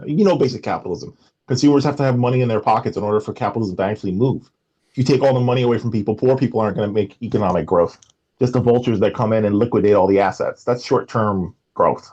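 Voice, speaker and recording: A man with a New York accent and a big booming voice, arguing on a livestream call, halting and restarting his sentences. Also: a little mellow, slightly rough, lo-fi sound. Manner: halting, restarts a lot, defensive. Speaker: livestream guest. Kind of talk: casual livestream debate.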